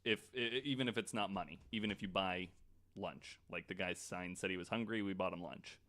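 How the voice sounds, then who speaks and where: Fairly low-voiced man chatting casually. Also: fairly even intonation, a little fast, fairly bright tone. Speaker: podcast panelist. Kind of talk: casual unscripted discussion.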